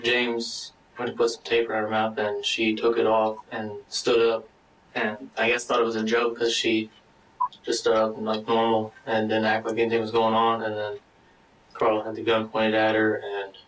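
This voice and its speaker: A man giving a halting, matter-of-fact account in a recorded interview. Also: fairly low pitch, completely flat delivery, a bit bright. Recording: distant microphone in a room.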